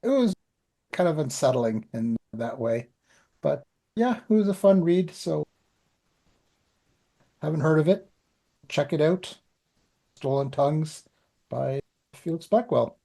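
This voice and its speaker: A man's voice: a little high, expressive, a little slow, gravelly, long pauses, warm tone. A middle-aged man co-hosting a podcast, chatting casually about writing in a plain-spoken, anecdotal way. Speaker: podcast co-host and writer.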